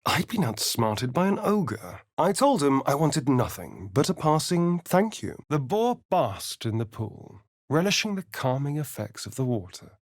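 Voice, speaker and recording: A vain, sly male vampire for a fantasy video game. His pitch swoops widely while he takes his time over each arch, sardonic phrase. The sound is dry and close, with no room on it. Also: very animated, unhurried, hardly any pauses, dry recording. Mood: hushed storytelling. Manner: theatrical, arch, sardonic drawl. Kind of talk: video game companion voice lines and narration.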